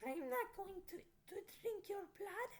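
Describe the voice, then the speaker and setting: A woman voicing a perky, friendly teenage vampire girl with a Transylvanian accent, high and breathy. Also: animated, unhurried, heavily acted. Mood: bubbly.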